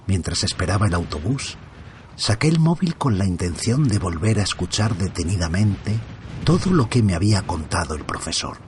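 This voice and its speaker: Casting: middle-aged man, low voice, hushed storyteller, faint street hum behind. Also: very animated, normal speed. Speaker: first-person narrator. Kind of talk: scripted horror narration. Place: at home by an open window.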